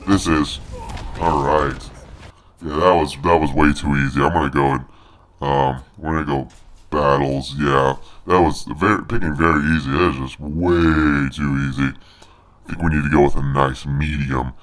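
Man with a deep pitched-down monster voice. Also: fairly even intonation, normal speed, a bit bright. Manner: joking, boastful, playful trash talk. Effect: pitched-down monster voice filter. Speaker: gamer. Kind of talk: let's play commentary.

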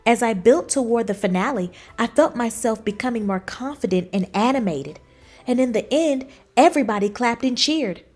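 A woman reading a children's book aloud in expressive storybook narration. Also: a little high, moderate pace, soft background music. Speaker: children's book reader, channel host.

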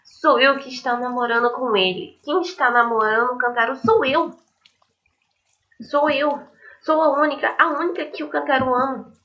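A woman's voice: sits high, normal speed, natural voice. A woman voicing an unstable, lovesick teenage schoolgirl from a visual novel, pleading and repeating herself dramatically. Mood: obsessive, stammering. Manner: stammering, pleading, dramatic repetition. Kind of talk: visual novel character read-aloud. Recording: dull lo-fi mic, music behind.

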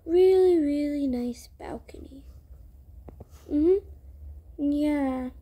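A woman with a high voice acts out an improvised roleplay story. The delivery is slow and halting, with a soft, mellow tone and pauses between phrases. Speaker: video creator voicing all characters. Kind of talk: improvised character roleplay storytelling for a toy-world game video.

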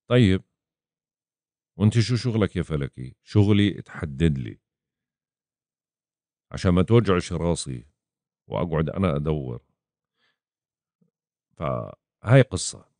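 Deep, animated man teaching. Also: no room sound. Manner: animated, acts out clients' questions in quoted voices. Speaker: astrologer teaching a lesson. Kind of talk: casual spoken lesson. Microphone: voice-over over chart screen.

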